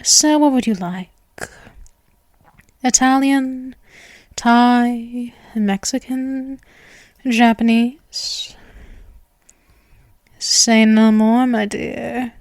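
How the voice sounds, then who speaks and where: Woman acting a slow, doting vampire girl, high-voiced. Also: fairly even intonation, no room sound, studio quality.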